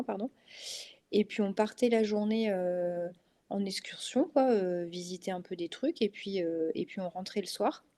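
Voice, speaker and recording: A woman's voice: mid-range voice, mellow. A woman in her thirties with a northern French accent, a mother of three, talking casually as a guest with many hesitations. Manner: hesitant, many fillers and restarts. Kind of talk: casual interview chat.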